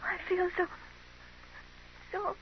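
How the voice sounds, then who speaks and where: Dying young dance hall girl, played by an actress: high, gasping, extremely slow, muffled vintage radio. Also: natural voice. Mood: pained, pleading. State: weak, gasping in pain. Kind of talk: 1950s radio Western acting. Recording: muffled, hissy 1950s radio recording.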